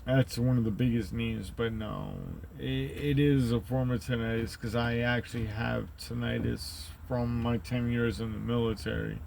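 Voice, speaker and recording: A man with a deep, rumbly voice who rambles through a profane, conspiratorial monologue and keeps tagging his points with "right?". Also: flat delivery, normal speed, gravelly, hardly any pauses, darkish tone, a little hiss or hum. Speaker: channel host.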